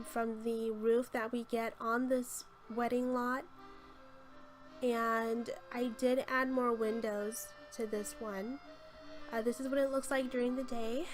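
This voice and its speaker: A woman's voice, rough and hoarse from a cold, narrates a relaxed game-build tour. She sits a little high and stays flat in pitch, gushing through her ums. Music plays clearly beneath her. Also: rough recording. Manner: gushing, chatty, with frequent ums. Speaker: game channel host. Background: light background music.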